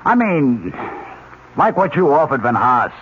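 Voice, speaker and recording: Uneasy colleague, American accent, animated intonation, hesitant, skeptical, radio drama, faint hiss on old recording. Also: male voice, medium pitch, unhurried, dull audio. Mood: uneasy, doubting. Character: uneasy, doubting male business colleague with a conscience. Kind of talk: 1970s radio drama acting.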